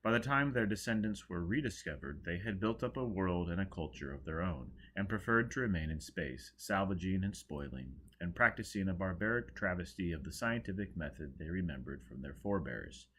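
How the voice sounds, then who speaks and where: A man reading an audiobook, narrating dramatically with a theatrical, expressive delivery. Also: low-pitched, fairly quick, hardly any pauses, slight background noise. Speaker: audiobook reader.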